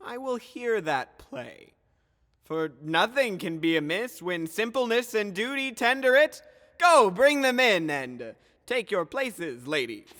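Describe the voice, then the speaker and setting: A man playing a grand, genial yet skeptical duke of Athens, declaiming in a measured, theatrical Shakespearean style. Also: sits high, big pitch swings, a bit bright, heavily acted. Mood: gracious. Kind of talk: theatrical Shakespearean audio drama acting. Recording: long reverberant hall echo.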